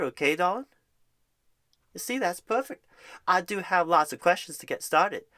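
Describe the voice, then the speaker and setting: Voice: mid-range voice, a bit bright. Reassuring ASMR job recruiter, pet names. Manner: reassuring, wordy, uses pet names like darling and honey. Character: happy, eager, encouraging job recruiter and career coach. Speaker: ASMR performer playing a job recruiter and career coach. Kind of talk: ASMR roleplay, one side of a phone screening.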